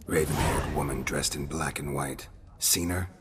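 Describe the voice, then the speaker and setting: An actor playing a stoic, weathered monster hunter in a video game, with a low gravelly voice and terse, deadpan phrases. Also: male voice, moderate pace, background noise. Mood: dry, guarded.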